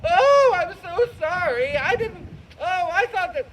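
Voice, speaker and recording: A woman's voice: extremely high pitch, expressive, measured pace, background noise, pitched above the performer's own voice. A woman voicing a friendly, chatty male rabbit in a children's puppet show. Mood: sheepish, upset. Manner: chatty, eager, neighborly. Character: cheerful, friendly male rabbit, eager home cook.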